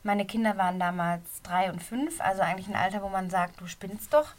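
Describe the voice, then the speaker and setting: A middle-aged woman with a slight cold and a somewhat hoarse voice, a running coach giving a casual, earnest podcast talk from a quiet corner of her bedroom. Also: medium pitch, brisk. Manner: earnest, encouraging, talks straight to the listener.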